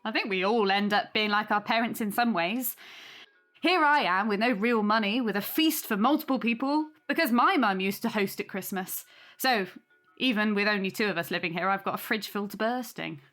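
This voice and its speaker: A woman's voice: mid-range voice, normal speed, fairly bright tone, soft background music, natural voice. A woman playing a kindly, welcoming hostess and soothing peacemaker in an audio drama. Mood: reassuring. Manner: hospitable, soothing.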